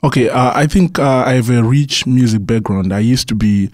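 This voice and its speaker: A man's voice: mid-range voice, moderate pace, a little mellow, no echo, studio quality. A young man from Zimbabwe, a musician guest on a radio interview, answering earnestly and often restarting his words. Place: radio studio.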